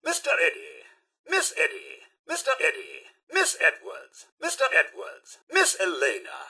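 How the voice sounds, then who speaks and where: An actor playing a polite, fussy robot butler in a video game, addressing people formally in short clipped phrases. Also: male voice, sits high, huge pitch swings, measured pace, vocal fry, pushed and bright, breathy edge, full character voice. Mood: formal, courteous. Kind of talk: video game character voice lines, names read one by one.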